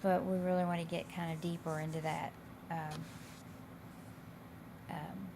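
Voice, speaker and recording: A woman instructor with a slightly raspy, hoarse voice, explaining coursework in a casual, loosely phrased, conversational way. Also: fairly low pitch, level tone, unhurried. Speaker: college instructor. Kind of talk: informal explanation of course assignments. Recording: clear background noise.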